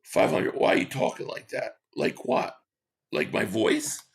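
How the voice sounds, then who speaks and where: A man hosting a sports talk livestream, hoarse and losing his voice, reading chat comments and giving rambling, opinionated takes. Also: lowish voice, big pitch swings, measured pace. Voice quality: hoarse, gravelly. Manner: rambling, opinionated, repeats himself.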